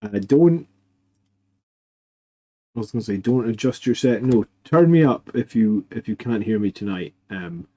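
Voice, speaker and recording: A man hosting a live stream in casual chat, quiet because he is losing his voice, rambling with fillers and restarts. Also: fairly low pitch, expressive, measured pace, pauses often. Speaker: host of a live army list show.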